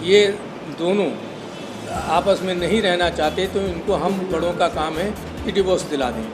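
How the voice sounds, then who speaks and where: An older man giving a statement in an interview, speaking in deliberate, halting phrases. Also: sits high. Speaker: interviewee, father-in-law giving his side. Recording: loud music over the speech. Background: loud music.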